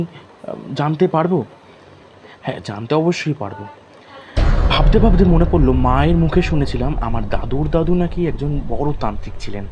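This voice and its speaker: A man narrating a first-person horror story in a dramatic, halting, suspenseful way, often repeating phrases. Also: a little high, warm tone, slight background noise.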